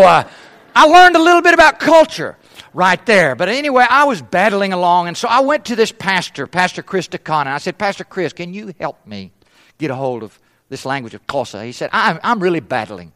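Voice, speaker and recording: Man, missionary preacher raised in East Africa, fairly high voice; emphatic sermon delivery that sinks to a hush. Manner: emphatic, trails off to a hush at phrase ends; asks rhetorical questions. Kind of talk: sermon at a conference.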